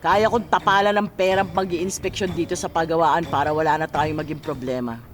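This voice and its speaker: A woman's voice: sits low, fairly even intonation, a little fast, faint music behind, lightly acted. A woman playing a greedy, domineering middle-aged mother and boss in a radio drama, scolding and imperious. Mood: stern. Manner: sharp-tongued, scolding, imperious.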